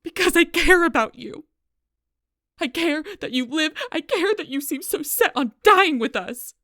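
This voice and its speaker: A woman playing a gruff, stubborn, protective female alpha werewolf in an intense audio roleplay, blunt and often hushed, with a husky voice. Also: lowish voice, moderate pace, no room sound, studio quality. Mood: angry, desperate. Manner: intense, blunt, swearing, often dropping to whispers.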